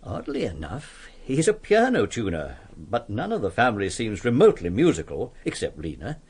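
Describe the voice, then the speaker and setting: An actor playing an earnest, kindly English vicar in a radio drama, speaking politely and precisely with some hesitation. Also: male voice, fairly low pitch, wildly swooping pitch, moderate pace, a little mellow, breathy edge, full character voice. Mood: confiding, disapproving.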